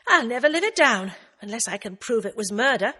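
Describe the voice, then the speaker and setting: A woman voicing a brisk, prickly middle-aged woman with a curt way of speaking. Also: fairly high pitch, expressive, pushed and bright, husky, voice pushed up, exaggerated voice. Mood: determined.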